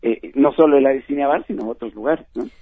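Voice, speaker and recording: A man's voice: medium pitch, quick pace, slightly rough, no echo. Mexican man on a tinny phone line. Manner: friendly, laughs often, many fillers. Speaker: film festival organizer. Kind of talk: phone interview on radio.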